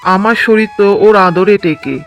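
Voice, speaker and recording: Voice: mid-range voice, moderate pace, a bit bright, faint music behind, lightly acted. A performer voicing a hardworking young farmer husband in a cartoon story, tired, earnest and grateful.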